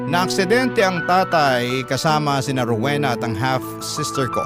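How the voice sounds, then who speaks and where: A Filipino man with a mid-pitched voice narrates for a radio drama. He reads a first-person letter as dramatic storytelling, with music loud behind him. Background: loud background music.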